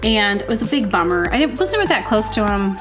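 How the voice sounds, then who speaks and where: A woman's voice: medium pitch, very animated, fast talker. A woman hosting a podcast, talking casually and rambling with lots of fillers and restarts. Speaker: podcast host. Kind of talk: casual personal monologue. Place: temporary home setup. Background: music.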